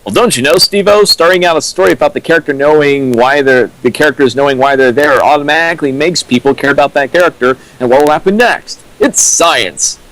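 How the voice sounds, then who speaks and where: A man's voice: on the high side, brisk, hardly any pauses, a bit bright, no echo, low-quality recording. A young man holding forth on writing theory in a casual stream chat, earnest and full of fillers. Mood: lecturing. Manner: earnest lecturing with many fillers. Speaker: co-host riffing a fanfic. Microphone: home mic on a stream.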